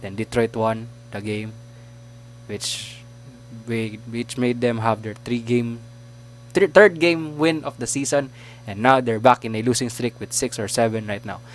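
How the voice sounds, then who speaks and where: A man about twenty with a Filipino accent, hosting a casual sports podcast, chatty and quick to laugh. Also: mid-range voice, fairly even intonation, a little hiss or hum. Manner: chatty, laughs often, trails off. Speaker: podcast host.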